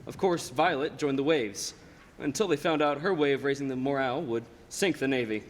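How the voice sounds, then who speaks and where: A young man, a high school actor, playing a patient boss recounting a life story in a measured radio play style. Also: natural voice. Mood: measured, storytelling. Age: young adult. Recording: hall reverb, faint noise, live stage.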